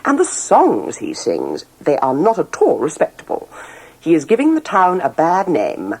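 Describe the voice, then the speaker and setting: A woman narrator acts a haughty townswoman from a children's storybook. She complains in drawn-out, disgusted RP phrases, with a husky edge and extravagantly swooping intonation. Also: low-pitched, wildly swooping pitch, a little mellow, heavily acted, poor audio. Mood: indignant.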